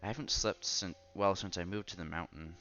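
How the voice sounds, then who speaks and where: A man with a fairly low, bright, forward voice reads a visual novel aloud in a casual let's-play style. He trips over words and corrects himself, over faint music on a slightly dull mic. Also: brisk. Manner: stumbles over words while reading, self-correcting. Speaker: host reading a visual novel.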